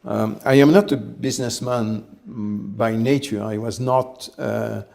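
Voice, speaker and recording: A man, telling his life story in an interview, measured and reflective, often pausing to find his words. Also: fairly low pitch, fairly even intonation, creaky, a little mellow. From France. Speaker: company head, interview guest.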